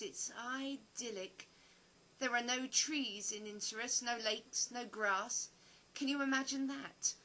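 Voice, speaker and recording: A woman's voice: a little high, pushed and bright, low-quality recording. A woman playing a flustered, self-deprecating actress in an audio play, chatty but hesitant and prone to stammering.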